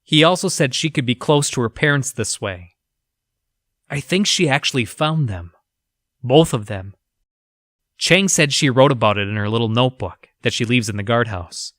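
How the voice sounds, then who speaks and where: An actor playing an educated, haunted research scientist, reading his journal for an audio drama in a formal, measured, clinical manner. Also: medium pitch, animated, fairly bright tone, no echo, studio quality, close to an everyday voice. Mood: grim, uneasy.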